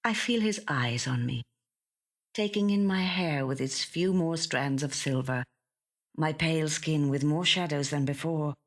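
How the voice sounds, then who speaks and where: A woman reading a first-person literary short story in a measured, gentle storytelling manner. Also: sits low. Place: audio studio.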